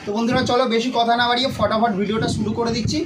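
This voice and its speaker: A man's voice: very high voice, little pitch movement, very fast, very noisy. A man hosting an app tutorial, repeatedly addressing his viewers directly as he explains each step. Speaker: tutorial channel host. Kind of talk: scripted-sounding app tutorial narration.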